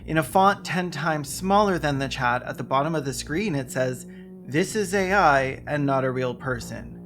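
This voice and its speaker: Video essay host, medium pitch, sarcastic and dry-witted scripted narration, light music underneath. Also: normal speed. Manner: sarcastic, wry deadpan jokes. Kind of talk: scripted narration with comic asides.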